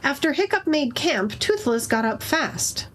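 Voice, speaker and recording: A woman with a somewhat high voice narrates a story in an informal group reading. Her pitch is animated, and she slips easily between narration and offhand chat. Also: unhurried. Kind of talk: live story reading with casual asides.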